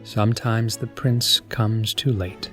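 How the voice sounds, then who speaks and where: A man playing a wistful, middle-aged gentleman in an audiobook, speaking in a gentle, nostalgic manner. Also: fairly low pitch, fairly even intonation, slow, faint music behind. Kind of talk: audiobook character reading.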